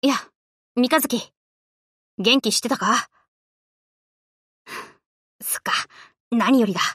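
An actress voicing a brash, cocky but loyal young tomboy warship girl in an anime game, with rough, boyish, casual speech. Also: a little high, slow, fairly bright tone, dry recording, studio quality, exaggerated voice. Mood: breezy, confident. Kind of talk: Japanese anime game character voice lines.

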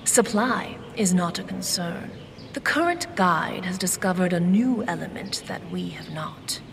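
An actress playing a mysterious, ominous watcher of dreams in a fantasy audio drama, speaking in a cryptic, deliberate way. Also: female voice, on the low side, lively intonation, vocal fry, slight background noise. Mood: grave, secretive.

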